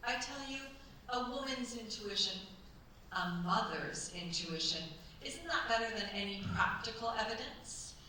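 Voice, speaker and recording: An actress as a cold, suspicious older mother-in-law in a period stage radio play. She speaks pointedly in a bright, sharp voice. The room is very echoey and she is far from the mic. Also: fairly high pitch, expressive. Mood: suspicious, insinuating. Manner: deliberate, pointed, insinuating. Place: cultural centre stage, live performance. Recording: very echoey stage, distant mic, faint noise.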